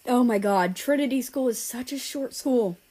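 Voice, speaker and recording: A woman voicing brash, nosy teenage friends in a story, blunt, excitable and gossipy. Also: a little high, moderate pace, voice pushed up, lightly acted. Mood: shocked, gossiping.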